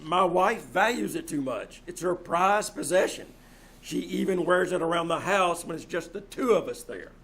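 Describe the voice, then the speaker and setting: An actor playing a flustered, shady husband with a hesitant, stammering delivery in an old-time radio play. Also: male voice, sits high, lively intonation, moderate pace, a bit bright, slightly rough, heavily acted. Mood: uneasy confessing. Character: flustered, shady wealthy husband with a guilty secret.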